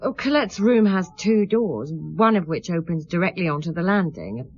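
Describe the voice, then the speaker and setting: An impatient, defensive married woman in a radio drama. Her voice is fairly low and very animated, curt and easily indignant. The sound is close and a little dull. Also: moderate pace, slightly rough, no room sound. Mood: exasperated. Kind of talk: radio play acting. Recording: dull lo-fi radio play audio.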